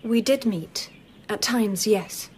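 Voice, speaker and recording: An actress playing a shy, dreamy palace lady in a radio drama, with a soft, hesitant delivery. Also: mid-range voice, takes it slowly, slight background noise, close to an everyday voice.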